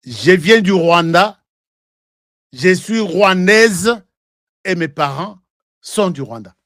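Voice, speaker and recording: A man's voice: high-pitched, lively intonation, slow pace, fairly bright tone, dry recording, clean studio sound. A man with a Congolese French accent hosting a live online show, talking in heated, rambling political commentary and switching between languages.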